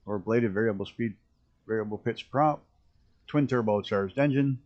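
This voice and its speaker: A man with a rough early-morning voice, chatting casually and rambling as he streams a flight simulator. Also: lowish voice, moderate pace, muffled recording. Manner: rambling, self-interrupting, chuckling at mishaps. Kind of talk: casual live-stream commentary.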